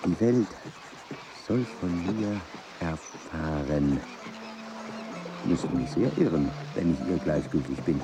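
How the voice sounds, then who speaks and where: A deep-voiced, rapt explorer. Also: male voice, expressive, slow pace, over loud music, heavily acted. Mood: rapt, eager. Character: enthusiastic explorer writing letters to his brother. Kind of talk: radio play acting.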